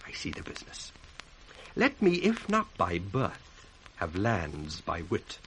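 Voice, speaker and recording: An actor with a British accent playing a sly, ambitious bastard son in a theatrical, sardonic 1960s radio Shakespeare style. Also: male voice, low voice, wildly swooping pitch, takes it slowly, fairly bright tone, heavily acted, poor audio. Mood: coolly scheming. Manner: theatrical, sardonic, crisply declaimed. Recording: faintly hissy 1960s radio recording.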